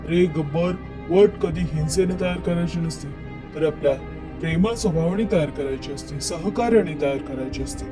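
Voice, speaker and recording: A man voicing a kindly, earnest donkey in a children's cartoon story, gently admonishing a friend. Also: fairly high pitch, normal speed, over music, lightly acted. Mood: reproachful.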